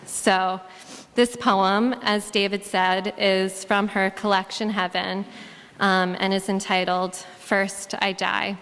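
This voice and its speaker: Slow, halting woman in a reverberant hall. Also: medium pitch, level tone, a bit bright, clean tone. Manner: halting, with frequent ums and pauses. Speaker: niece giving a eulogy. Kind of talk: memorial eulogy and poem reading. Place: church sanctuary at a memorial service. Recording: long reverb of a large hall.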